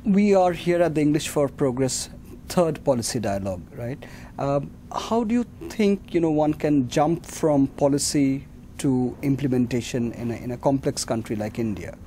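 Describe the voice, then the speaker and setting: An interviewer asking questions with halting, hesitant openings. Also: medium pitch, animated, dark tone, slight background noise.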